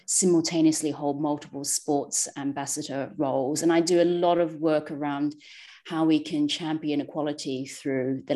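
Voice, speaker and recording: A woman's voice: low voice, mellow. A woman introducing herself as an advocate and speaker, listing her roles confidently. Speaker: advocate, guest. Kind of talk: self-introduction.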